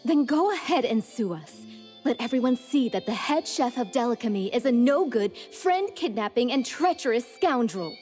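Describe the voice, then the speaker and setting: Actress as a mellow, sardonic businesswoman. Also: on the high side, animated, moderate pace, soft background music, natural voice. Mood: smugly cutting. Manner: composed, sardonic, formal. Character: cool, smug, cutting businesswoman. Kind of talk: anime game voice acting.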